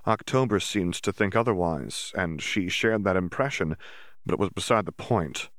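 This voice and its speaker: A man with a lowish voice narrates a fantasy story in a steady, measured way. The recording is dry and close, with no room sound. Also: dry recording. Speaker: audiobook narrator. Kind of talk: scripted audiobook narration.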